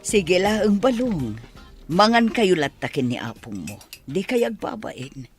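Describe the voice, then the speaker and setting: A woman's voice: fairly low pitch, big pitch swings, unhurried, faint music behind. A woman playing a kind, concerned and generous wife in an Ilocano radio drama. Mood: kindly.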